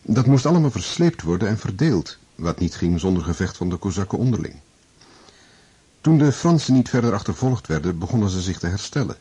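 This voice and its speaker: A man narrating a historical war tale in a radio play, grave and measured in his storytelling. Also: fairly low pitch, sing-song, warm tone, slightly rough, heavily acted.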